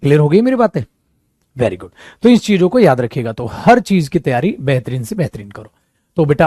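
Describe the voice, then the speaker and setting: High-voiced man teaching an exam class. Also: a little mellow, breathy edge, dry recording, studio quality. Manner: addresses students as beta and mere dost, acts out students' doubts. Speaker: English teacher for competitive exams. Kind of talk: coaching-class lecture.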